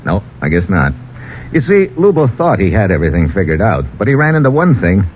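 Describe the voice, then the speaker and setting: Deep-voiced, wisecracking radio adventure hero. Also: male voice, sing-song, a little fast. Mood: wry, relieved. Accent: American. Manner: clipped, slangy, wisecracking. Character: tough, quick-thinking American hero, wry and commanding. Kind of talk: old-time radio adventure acting. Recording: noisy, muffled old radio recording.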